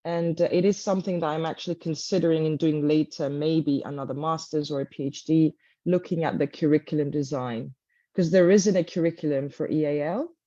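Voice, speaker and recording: A woman from Cyprus, a guest teacher, talking in an interview with many pauses and fillers. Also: low-pitched, steady tone, mellow, dry recording, lo-fi sound. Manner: thoughtful, many ums and uhs. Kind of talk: interview conversation.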